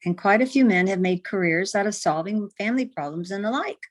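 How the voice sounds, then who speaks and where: A woman's voice: medium pitch, expressive, a little fast, lightly acted. A woman playing a headstrong, exasperated newspaper editor in an old-time radio comedy, emphatic and indignant. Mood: bickering.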